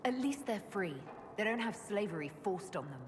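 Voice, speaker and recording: A woman's voice: medium pitch, lively intonation, normal speed, close to an everyday voice. An actress playing a frank, inquisitive adventurer woman in a video game, asking direct, probing questions with composure. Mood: challenging. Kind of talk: video game dialogue acting. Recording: reverberant hall with ambient noise.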